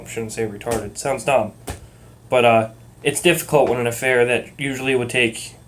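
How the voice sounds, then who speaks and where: A young man with a Massachusetts accent muddled by moving around talks in a calm, relaxed rhythm. He rambles along with lots of ums and uhs and drops swear words casually. A faint hiss sits behind his voice. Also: mid-range voice. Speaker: vlogger. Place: at work.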